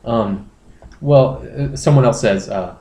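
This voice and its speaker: A man's voice: medium pitch, slow, mellow. Male comedy podcast host, mid-range voice, wry and crude, casual readings, roomy echo with faint noise. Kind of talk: casual comic reading of internet posts.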